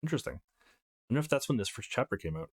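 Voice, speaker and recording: Male let's play host. Mid-range pitch, very animated delivery, informal and upbeat, switches between chat and reading aloud. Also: fast talker. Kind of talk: casual let's play commentary, reading game text aloud.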